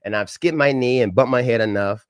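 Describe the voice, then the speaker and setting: A man's voice: medium pitch, fairly quick, smooth, no room sound. Upbeat middle-aged man with a head cold. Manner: upbeat, folksy, preacher-like greetings. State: has a head cold, slightly crackly and nasal. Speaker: government contracting trainer. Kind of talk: live-stream training talk. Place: home setup in Dallas.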